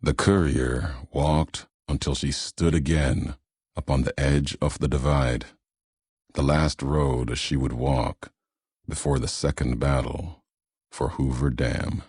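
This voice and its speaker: A man playing a grim, vengeful wasteland courier in a video game, speaking solemnly in terse, cryptic pronouncements with a gravelly, raspy voice. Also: very deep voice, fairly even intonation, takes it slowly, a little mellow, no room sound, clean studio sound, exaggerated voice. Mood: solemn, narrating. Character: grim, vengeful male wasteland courier, brooding philosophical antagonist. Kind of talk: video game character dialogue.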